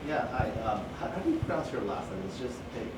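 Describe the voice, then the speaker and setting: A man speaks up from the audience in a roomy hall, picked up from a distance. His pitch is average and he rambles hesitantly toward his question, with clear noise around him. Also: sing-song, quick pace. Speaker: audience member asking a question. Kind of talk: informal live question.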